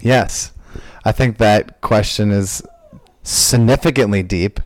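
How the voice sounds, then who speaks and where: A man in his twenties, co-hosting a podcast in relaxed, joking chat. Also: fairly low pitch, slow, no echo. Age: 20s. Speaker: podcast co-host.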